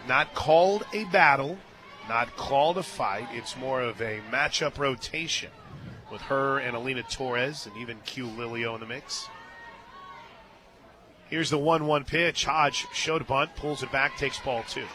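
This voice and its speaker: A man doing live radio play-by-play of a softball game, mixing commentary with casual banter from a booth at the ballpark. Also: medium pitch, unhurried. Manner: bursts into excited shouts on big plays. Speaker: play-by-play sports radio announcer.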